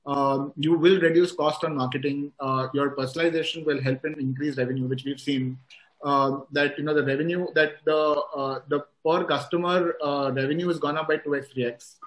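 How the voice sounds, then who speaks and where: A man explains his points on a video call and keeps checking in with "right?" and "you know". His voice is a little higher than most men's. The room around him gives the sound noticeable echo. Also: steady tone, moderate pace. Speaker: panelist. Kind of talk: panel discussion on a video call. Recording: roomy video-call audio.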